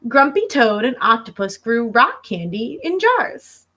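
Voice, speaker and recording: Lady teacher reading a kids book aloud, highish voice, really animated, talks right to the kids. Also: measured pace. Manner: expressive, instructive, addressing young listeners directly. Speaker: elementary school teacher. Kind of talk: read-aloud storytelling for children. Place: living room at home.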